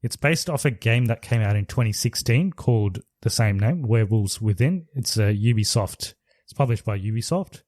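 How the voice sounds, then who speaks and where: A man's voice: lowish voice, expressive, moderate pace, darkish tone, no room sound, clean studio sound. A man co-hosting a podcast, casually retelling a movie plot in a loose, rambling way with restarts. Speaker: podcast co-host. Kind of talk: casual chat reviewing movies.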